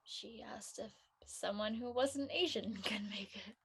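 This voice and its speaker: A woman plays a young college student in a drama reading. She sounds lonely and discouraged. Her voice sits somewhat high and comes out hesitant and plaintive. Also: unhurried, fairly bright tone, breathy edge, full character voice. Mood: hurt, downcast. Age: young adult.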